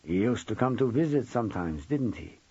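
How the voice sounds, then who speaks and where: A man voicing a gaunt, knowing Romanian woman psychic in an audiobook, speaking slowly and deliberately with relentless intent. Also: mid-range voice, lively intonation, darkish tone, slightly rough, no room sound, dull audio. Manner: slow, deliberate, unnerving.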